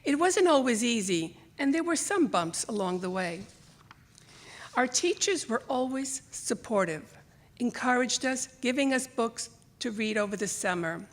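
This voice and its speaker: A woman's voice: on the high side, unhurried. An older woman who grew up speaking another language reads her own scripted personal essay aloud to a live audience, expressively, as a storyteller. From Greece, emigrated to the United States as a child. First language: Greek or Italian. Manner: expressive, acts out remembered dialogue. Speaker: writer reading own memoir essay. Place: library theater stage, live event.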